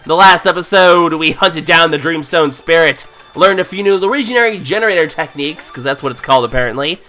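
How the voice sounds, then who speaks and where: A man with a cold, hoarse and nasal, giving casual, joking commentary as he plays a game. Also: fairly high pitch, fairly quick, bright, forceful tone. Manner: playful, jokey, thinks aloud. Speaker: let's play host.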